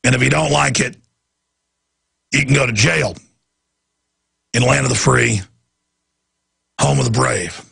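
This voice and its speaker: A hoarse, raspy man hosting a radio talk show, straining his voice as he rants in indignant political commentary from a studio. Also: medium pitch, unhurried, pauses often, sharp tone, dry recording. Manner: ranting, indignant.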